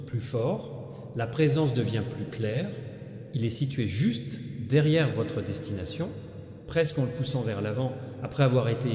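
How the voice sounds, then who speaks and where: A man narrating a scripted inspirational spiritual message straight to the listener, solemn and halting, in short broken phrases. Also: mid-range voice, dark tone. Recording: echoey hall with long reverb.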